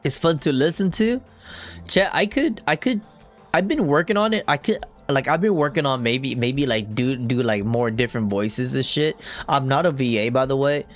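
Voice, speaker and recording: A young man streaming a game, chatting loosely with viewers and reading story text aloud, sometimes in a deep half-whisper. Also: moderate pace. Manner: rambling, slangy, reads dialogue in a deep whisper. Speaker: gaming streamer.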